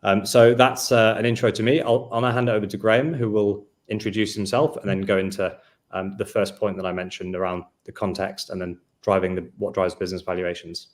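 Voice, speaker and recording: A man in his thirties hosting a business webinar over a video call, speaking in a measured way with frequent fillers. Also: lowish voice, fairly even intonation. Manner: measured presenter, hedging with fillers. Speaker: webinar host.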